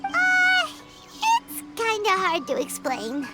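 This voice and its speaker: A tiny childlike game fairy with an extremely high, squeaky voice. The pitch swings about excitably while the words come at an unhurried pace. Music plays prominently behind. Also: female voice, full character voice. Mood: puzzled. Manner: refers to self in third person, exclamatory. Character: small childlike floating fairy companion, excitable, easily spooked, indignant. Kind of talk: English video game voice acting.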